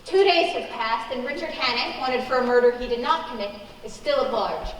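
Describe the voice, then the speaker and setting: A woman's voice: a little high, fairly quick, slight background noise. A woman announcer reading scripted narration for a radio play. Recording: echoey hall, far from mic.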